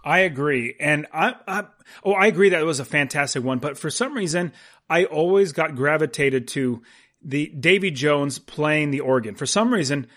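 A man's voice: on the high side, lively intonation, moderate pace, a bit bright. A man co-hosting a casual movie podcast, speaking informally and repeating words for emphasis. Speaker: podcast co-host.

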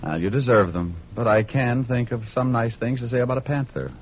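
A man's voice: medium pitch, brisk, close to an everyday voice. An American man playing a cocky, hard-boiled private detective with a glib, sardonic delivery in 1940s radio drama style. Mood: smooth, teasing. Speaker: lead actor narrating and playing the detective. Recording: muffled, noisy old radio recording.

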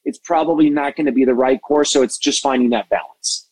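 Expressive, passionate man in a dry recording. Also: medium pitch, a little fast, no echo, poor audio. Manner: expressive, passionate, self-described hyper. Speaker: interview guest, entertainment media executive and mentor. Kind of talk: animated interview conversation.

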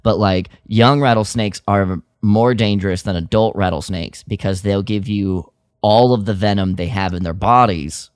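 Lowish-voiced man chatting, dry close sound. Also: normal speed, clear, resonant tone, dry recording. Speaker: podcast co-host. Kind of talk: casual podcast chat.

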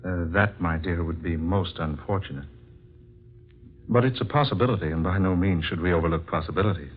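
An actor playing a smug, condescending male killer in an old-time radio drama, his husky voice cracking into frantic shouts. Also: low voice, brisk, a little hiss or hum, natural voice. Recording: lo-fi old radio recording with music.

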